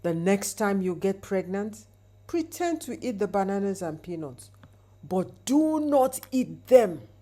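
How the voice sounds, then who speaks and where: A woman voicing a grave, prophetic male pastor in a folktale, speaking solemnly and deliberately. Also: mid-range voice, sing-song, warm tone. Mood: grave warning.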